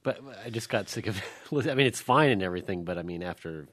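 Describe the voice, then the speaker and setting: A man's voice: lowish voice, animated, fast talker, a bit bright, dry recording. Casual man in a dry recording. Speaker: podcast co-host. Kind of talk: casual chat.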